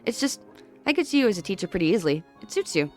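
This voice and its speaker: A woman's voice: mid-range voice, big pitch swings, very fast, faint music behind, lightly acted. A woman voicing an awkward, earnest teenage schoolboy in a visual novel, speaking in a hesitant, friendly way.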